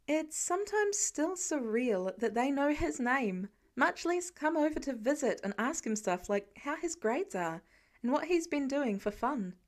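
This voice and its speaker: A woman's voice: high voice, darkish tone. A woman reading fiction aloud as an amateur audiobook narrator, in scripted storytelling style.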